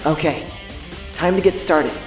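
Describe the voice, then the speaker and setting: A man voicing an earnest, anguished high-school boy in an introspective, dramatic anime fandub. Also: measured pace, roomy sound, faint music behind, lightly acted. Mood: somber, determined.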